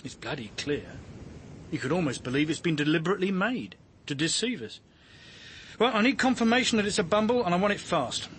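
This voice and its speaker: An actor in a radio drama playing a world-weary, driven senior detective with a dry, blunt, commanding manner. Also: male voice, high voice, lively intonation, a little fast, fairly bright tone, slightly rough, full character voice. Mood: determined, brisk.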